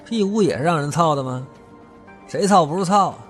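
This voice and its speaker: A man's voice: a little high, expressive, brisk, natural voice. A man playing a menacing, vulgar, scarred older brother with a grudge in an audio drama, sneering and swearing as he taunts. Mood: sneering threat. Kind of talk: Chinese audio drama acting. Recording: music behind the voice.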